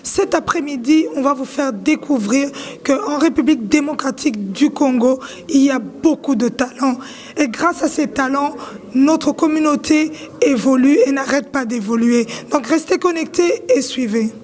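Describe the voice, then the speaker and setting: A woman hosts an on-location interview for web television. Her voice sits high, and she speaks as a presenter introducing a guest and putting questions to them. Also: normal speed, a little mellow. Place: film festival in Brussels.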